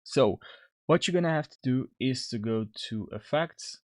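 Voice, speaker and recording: A man's voice: mid-range voice, expressive, normal speed, dry recording. Man narrating a casual tutorial, very dry sound. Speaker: tutorial host.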